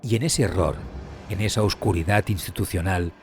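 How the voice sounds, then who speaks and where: A man reading scripted documentary narration in a solemn, measured, dramatic way with weighty pauses. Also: low voice, darkish tone, slight background noise. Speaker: true crime podcast narrator. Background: background music.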